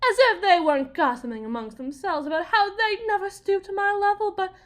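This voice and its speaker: A woman's voice: very high-pitched, brisk. A woman playing a bitter, desperate young Southern US wife, confiding in a folksy, theatrical way in an audio roleplay monologue. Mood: anguished.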